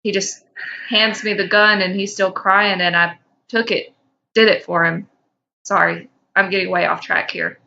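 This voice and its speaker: A woman's voice: medium pitch, fairly even intonation, moderate pace, vocal fry, sharp tone, dull audio, a little hiss or hum. A woman co-hosting a podcast, speaking informally, whether reading fan fiction aloud or bantering. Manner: informal, teasing, profane. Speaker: podcast co-host.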